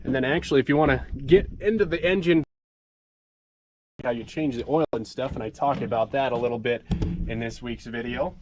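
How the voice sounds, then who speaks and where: Stuffy-nosed farmer, noisy outdoor stream. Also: male voice, mid-range voice, brisk. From Montana. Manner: relaxed rambling with fillers, greets chat viewers. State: stuffed up from a cold. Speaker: farmer livestreaming. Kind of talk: casual live walkthrough.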